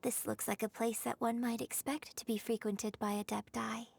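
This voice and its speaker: A woman playing a graceful young opera performer in a video game, telling things in an eloquent, measured, theatrical way. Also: on the high side, flat delivery, gravelly, breathy edge. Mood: nostalgic, admiring. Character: graceful young female opera performer, poised, refined storyteller.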